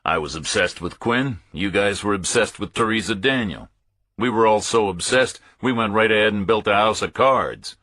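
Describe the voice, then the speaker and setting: A man's voice: fairly low pitch, moderate pace, pushed and bright. A man voicing a tough, self-critical male investigator in a thriller audiobook, speaking tersely and matter-of-factly.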